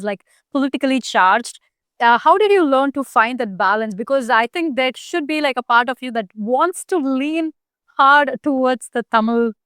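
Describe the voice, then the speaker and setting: A woman podcast host asks a question, hesitating and rephrasing as she goes. Her voice sits a little high and sounds smooth and clear. The recording is close and dry. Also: a bit bright, no room sound. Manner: hesitant, searching questions with many fillers. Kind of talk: conversational interview.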